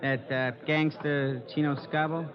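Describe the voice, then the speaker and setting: Actor as jaded city worker, slightly high voice. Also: male voice, little pitch movement, a little slow, close to an everyday voice. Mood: jaded, casual. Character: jaded, wisecracking city emergency worker man. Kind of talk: 1940s-50s radio drama acting. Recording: dull old radio recording, crowd noise.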